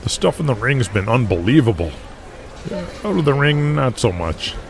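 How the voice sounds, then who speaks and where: An actor playing brash, excitable young pro wrestlers trading slangy, sweary banter in an audio drama. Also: male voice, mid-range voice, normal speed, slight background noise. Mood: hesitant, uneasy.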